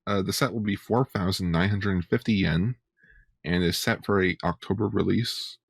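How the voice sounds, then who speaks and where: A man's voice: deep voice, normal speed, creaky, slightly rough, no echo. A man co-hosting a podcast in casual chat, often repeating words as he gets going. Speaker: podcast co-host.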